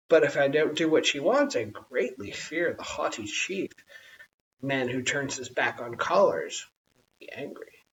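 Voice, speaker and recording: Storybook part: earnest, awed tribesman done by a reader, highly animated, on a dull and hissy recording. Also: far from the mic. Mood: wary, awed. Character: earnest, awed stranger man, anxious to be polite.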